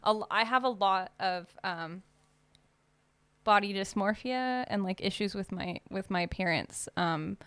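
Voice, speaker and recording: A woman's voice: medium pitch, unhurried, fairly bright tone, clean tone. A woman co-hosting a podcast in casual chat, rambling with lots of fillers and restarts. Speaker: podcast co-host.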